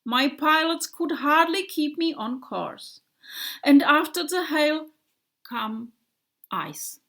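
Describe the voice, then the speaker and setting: A woman's voice: high-pitched, expressive, slow pace, gravelly, sharp tone, voice pushed up, full character voice. A woman voicing an earnest male bomber plane in a storybook, vividly telling his friends about a dream. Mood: dramatic.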